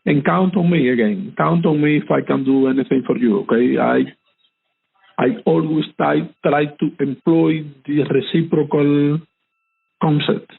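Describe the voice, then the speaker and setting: A man's voice: fairly high pitch, measured pace, gravelly, husky. A man being interviewed who speaks formally and graciously, thanking often. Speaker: interview guest. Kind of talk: interview conversation. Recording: tinny phone-line call.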